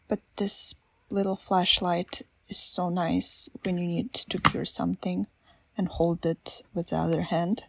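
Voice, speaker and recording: Woman, Russian accent, gentle ASMR voiceover, a touch of vocal fry, dry close mic, self-deprecating. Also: mid-range voice, no echo. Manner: soft, self-deprecating, gently chatty with little laughs. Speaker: nail art creator. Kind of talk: soft-spoken ASMR voiceover.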